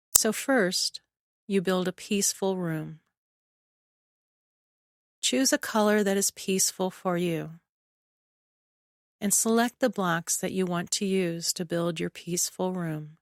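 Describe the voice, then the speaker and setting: Female guided imagery narrator: soothing, measured delivery, clean smooth tone, very unhurried, with long pauses. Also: medium pitch, no room sound, clean studio sound. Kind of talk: guided relaxation meditation, scripted narration.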